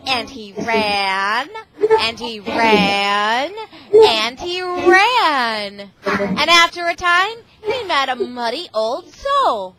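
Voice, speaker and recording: Female classroom storyteller: high voice, unhurried pace, expressive delivery for young children, loud background noise. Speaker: reading tutor and visiting parent reading to a class. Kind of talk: storybook read-aloud to children. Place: school classroom. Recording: very noisy amateur classroom recording.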